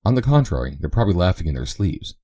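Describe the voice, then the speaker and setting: A man's voice: deep voice, very animated, fast, dark tone, no room sound, studio quality, voice pushed up. A man voicing a teasing young brother who jokes in mock-dramatic banter.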